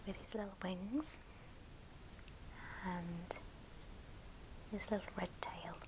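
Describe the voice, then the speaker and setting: A woman whispering gently and breathily in an unhurried ASMR show-and-tell style. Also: fairly low pitch, steady tone, background noise. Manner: gentle, unhurried, soft-spoken. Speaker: ASMR video creator.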